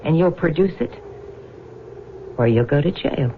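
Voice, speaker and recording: An actress playing a brash, taunting, venal younger wife with slangy speech in a radio drama. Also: female voice, sits low, animated, unhurried. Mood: menacing, taunting. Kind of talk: 1970s radio drama acting. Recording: dull, noisy old radio recording.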